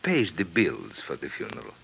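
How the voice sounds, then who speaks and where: Solemn low-voiced merchant, dramatically swooping. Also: male voice, huge pitch swings, gravelly, a bit bright, slightly rough, full character voice. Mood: courteous. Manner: formal, deliberate, theatrical phrasing. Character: formal, courteous male oriental carpet merchant, solemn. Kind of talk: 1950s radio drama acting. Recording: noisy 1950s radio recording.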